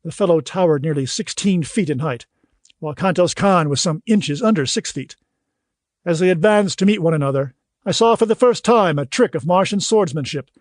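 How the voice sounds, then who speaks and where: A man reading scripted audiobook narration in a measured, literary storytelling manner. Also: on the high side, no echo, clean studio sound.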